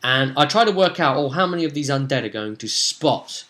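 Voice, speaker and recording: A man, casually recounting a tabletop role-playing session in an animated, rambling way and slipping into bits of dialogue. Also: medium pitch, brisk. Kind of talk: casual rambling storytelling to camera. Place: small home room, indoors.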